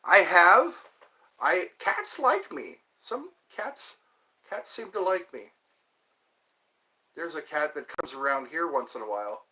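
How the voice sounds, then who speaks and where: A man's voice: a little high, sing-song, slow, pauses often, sharp tone. A man in his fifties hosting a livestream, chatting casually with viewers in a rambling, joking, self-deprecating way. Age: 50s. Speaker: livestream host. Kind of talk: casual chat answering viewers.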